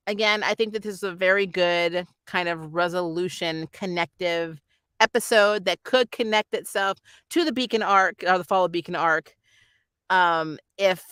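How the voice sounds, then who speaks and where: A woman's voice: medium pitch, bright, forceful tone, clean tone, no echo, clean studio sound. A woman hosting a casual, rambling review vlog, talking informally and with animation to her viewers as she shares her opinions. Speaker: anime reviewer and vlog host.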